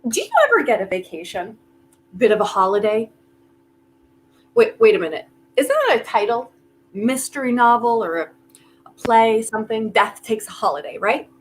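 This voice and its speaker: A woman acting in a staged play reading as a desperate, quick-witted, volatile woman who argues in a halting, emphatic way, thinking aloud. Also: on the high side, lively intonation, slight background noise, close to an everyday voice, rough recording. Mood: probing, wry.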